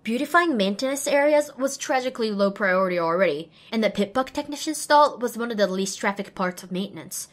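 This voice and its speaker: A woman's voice: a little high, a little fast, few pauses, lightly acted. A young woman voicing an earnest, self-deprecating young female pony apprentice, narrating an audio drama expressively and wryly. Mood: glum, bored. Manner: expressive, wry. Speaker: lead and first-person narrator.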